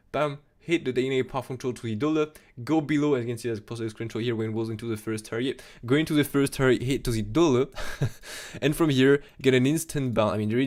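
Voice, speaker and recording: A young man with a French accent, talking casually on a live stream about trading charts, excitable and full of restarts, recorded at a desk mic in a small room. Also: mid-range voice. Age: young adult. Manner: excitable, repeats "absolutely insane", many restarts. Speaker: crypto chart analyst streamer.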